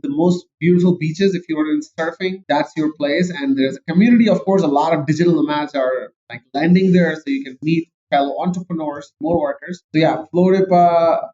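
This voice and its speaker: A man with a Pakistani accent talks in an eager, rambling way and jokes about himself. His voice sits fairly high. The sound is muffled and lo-fi. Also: fairly quick, few pauses, mellow. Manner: eager, rambling, self-joking. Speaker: guest. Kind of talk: casual interview chat.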